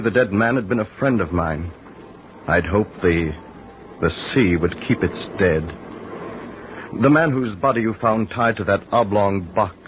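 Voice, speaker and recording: An actor playing a nervous gentleman passenger who narrates his story, speaking hesitantly and apologetically in an old radio drama. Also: on the low side, close to an everyday voice. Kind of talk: 1940s radio acting. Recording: dull old radio recording with hiss.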